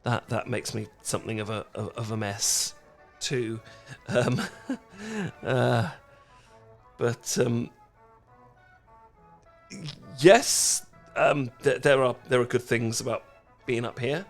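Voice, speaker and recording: A man's voice: mid-range voice, animated, soft background music. A man playing an awkward, anxious, smitten British dork in a romantic ASMR roleplay, stammering and apologetic as he goes. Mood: sheepish, nervous. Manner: stammering, flustered, halting, apologetic.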